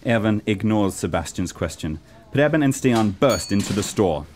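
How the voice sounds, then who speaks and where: A man's voice: on the low side, expressive, normal speed, a little mellow, soft background music. A man from Norway giving scripted narration for a radio play. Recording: clear background noise.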